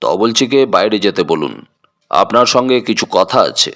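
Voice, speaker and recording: A man's voice: lowish voice, big pitch swings, normal speed, a bit bright, voice pushed down. A man playing a polite, methodical police inspector with a formal, probing manner in an audio drama. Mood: firm.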